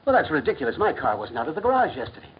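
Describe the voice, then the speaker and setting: A man's voice: a little high, very animated, very fast, a little hiss or hum. A man playing a stern, irascible, domineering middle-aged father, clipped and commanding, in a 1940s educational film. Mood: indignant. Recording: muffled 1940s film soundtrack.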